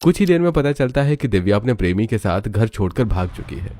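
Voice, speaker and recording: A man's voice: lowish voice, very animated, fast talker, mellow, no echo. A man narrating a scripted family drama story in a dramatic storytelling style.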